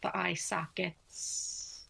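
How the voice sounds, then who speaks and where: A woman guiding a yoga nidra relaxation in a soothing manner, speaking in short phrases with long pauses. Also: fairly low pitch, level tone, creaky, sharp tone, slight background noise. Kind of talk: guided relaxation meditation, slow spoken instructions.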